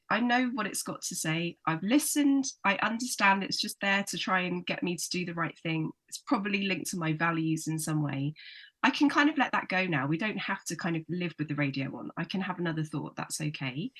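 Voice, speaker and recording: A woman psychotherapist, a guest on a podcast, explaining things warmly and sometimes acting out her inner critic. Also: lowish voice. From United Kingdom. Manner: warm, explanatory, acts out inner voices. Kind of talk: casual chat, explaining.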